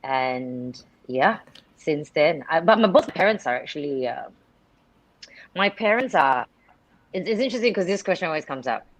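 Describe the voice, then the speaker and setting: A woman's voice: low voice, lively intonation, moderate pace, low-quality recording. A woman from Singapore, a radio presenter, chatting as a guest on a live video call and telling stories with many restarts and laughs. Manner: chatty storyteller, restarts sentences, laughs often.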